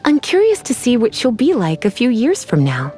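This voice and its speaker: Sultry, teasing sorceress, lilting voice. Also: female voice, fairly high pitch, huge pitch swings, mellow, soft background music. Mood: playful, coy. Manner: sultry, teasing, unhurried, coy. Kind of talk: video game character voice lines.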